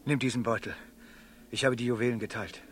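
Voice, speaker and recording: An actor playing a secretive, guilt-ridden runaway slave and deceiving lover in a classic German radio play, with declamatory diction, on a noisy old recording. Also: male voice, medium pitch, fairly even intonation, a little fast, lightly acted. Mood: wary. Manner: dramatic, declamatory stage diction.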